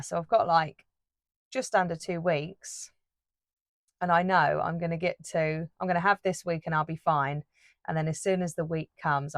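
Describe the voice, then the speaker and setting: A woman chats away while painting, looping back with fillers and restarts. Her voice sits a touch low and sounds a bit croaky. The sound is dry and close, recorded on a phone. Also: dry recording. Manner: rambling, chatty, many fillers and restarts. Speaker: art YouTuber. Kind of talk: casual chat while painting.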